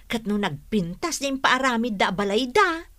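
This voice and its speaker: Teasing young niece, bright forward voice, Ilocano accent, close dry radio comedy sound. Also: female voice, on the high side, big pitch swings, moderate pace, no echo. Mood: gossiping. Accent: Ilocano, northern Philippines. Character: thrifty, teasing young niece selling plants. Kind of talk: Filipino radio comedy drama acting.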